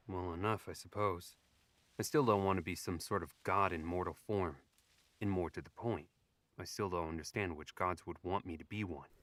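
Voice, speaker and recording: A man's voice: deep voice, steady tone, moderate pace, fairly bright tone, dry recording. A man playing a wry, abrasive young elf nobleman in an audio drama, with a dry, sardonic delivery. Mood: reluctant, grumbling.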